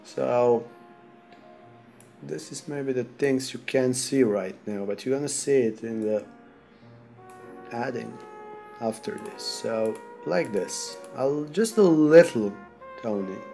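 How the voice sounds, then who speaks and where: A man from Serbia with a Serbian accent, sick, talking through a software tutorial in halting non-native English while he clicks. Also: mid-range voice, vocal fry, faint music behind. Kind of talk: screen-recorded software tutorial.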